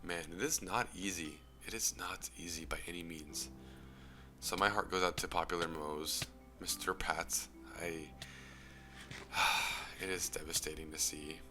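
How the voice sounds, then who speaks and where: Low-voiced young man, earnest, over music. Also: steady tone, a little slow, pushed and bright. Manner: earnest, reflective, trailing into breathy whispers. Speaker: YouTube commentator. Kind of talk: earnest personal commentary. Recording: music playing under the voice.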